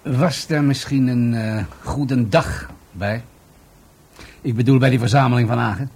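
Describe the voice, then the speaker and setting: Husky, deliberate police investigator. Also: male voice, medium pitch, fairly even intonation, darkish tone. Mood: inquisitive. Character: measured, inquisitive male police investigator questioning a witness. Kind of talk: Dutch radio play acting.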